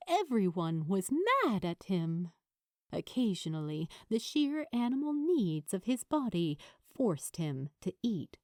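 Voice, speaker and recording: A woman reading a story as an audiobook narrator, with dramatic, deliberate phrasing and pauses. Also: mid-range voice, a little mellow, dry recording, clean studio sound. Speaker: narrator of fan fiction.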